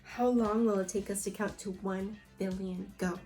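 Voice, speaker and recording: A woman's voice: medium pitch, fairly even intonation, moderate pace, warm tone, faint music behind. A woman teaching young children through a read-aloud lesson, reading from a book and prompting pupils with questions and short instructions. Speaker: second-grade teacher.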